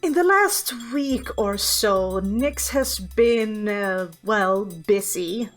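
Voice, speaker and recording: A woman speaks slowly over background music as a player in a tabletop roleplaying game. She tells her character's story casually, musing and pausing to think. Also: medium pitch, animated.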